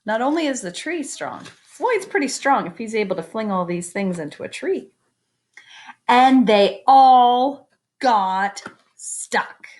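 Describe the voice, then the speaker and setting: A woman reading a children's picture book aloud expressively, with chatty asides to her young listeners. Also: on the high side. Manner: expressive, pauses to comment and invite predictions. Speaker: read-aloud storyteller.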